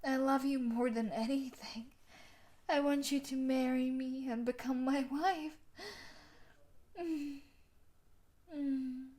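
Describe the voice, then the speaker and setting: A woman's voice: high-pitched, steady tone, slow pace, long pauses. A young woman playing a sadistic, possessive female yandere bully in a roleplay audio drama, with a taunting, domineering manner. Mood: possessive, smug. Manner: taunting, domineering, with breathy sighs and soft laughs. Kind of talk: roleplay audio drama monologue addressed to the listener.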